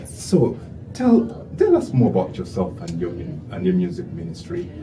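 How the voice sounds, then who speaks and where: A man interviewing a guest, encouraging and halting, with many fillers. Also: sits low, wildly swooping pitch. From Uganda. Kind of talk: interview conversation. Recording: distant, echoey, noisy room.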